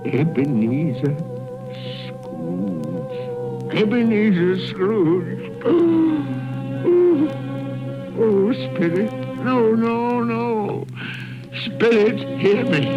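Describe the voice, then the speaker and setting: An excitable, swooping old man. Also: fairly high pitch, dull audio, exaggerated voice. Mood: pleading, terrified. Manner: theatrical, gasping, stammering, exclamatory. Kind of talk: 1930s radio drama acting. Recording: 1930s radio recording with music.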